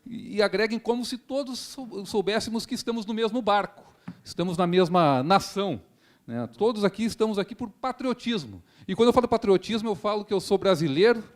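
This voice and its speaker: Earnest prosecutor giving a speech. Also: male voice, sits high, lively intonation, fairly quick. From Rio Grande do Sul, Brazil. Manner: earnest rhetorical speaker, frequent tag questions. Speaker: public prosecutor. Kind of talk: formal speech at a seminar.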